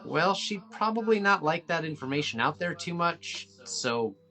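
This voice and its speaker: An actor reading a laid-back, guarded small-town policeman in a film script, casual, halting and folksy. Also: a little high, expressive, sharp tone. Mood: guarded, confiding. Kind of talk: table read of a film script.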